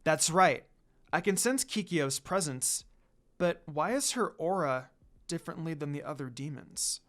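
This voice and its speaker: A brave young heroine as a story reader acts her out, snappy and emotional. Her storybook lines swing widely in pitch. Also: expressive, measured pace, a bit bright. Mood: uneasy. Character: brave, snappy young woman who senses spirits.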